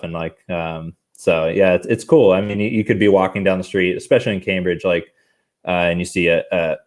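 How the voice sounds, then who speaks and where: A man talking casually as a brewery guest on a video call, rambling with lots of fillers and restarts. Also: low voice.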